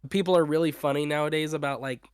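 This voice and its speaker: A man's voice: fairly high pitch, fairly even intonation, quick pace, clean tone, no room sound. A young man talking casually as an interview guest, agreeable, slangy and enthusiastic. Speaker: guest on an interview, horror series creator. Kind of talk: casual chat.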